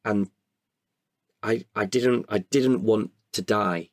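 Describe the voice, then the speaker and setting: A British man with a low, husky voice talks in a reflective interview. He is candid and thoughtful, feeling his way hesitantly through his answers. The sound is close and dry. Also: slow pace, dry recording. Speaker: podcast guest, performer.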